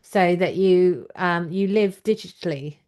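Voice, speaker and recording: A woman's voice: fairly low pitch, unhurried, darkish tone. A woman hosting a conversational interview in a chatty, informal way. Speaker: podcast host and interviewer.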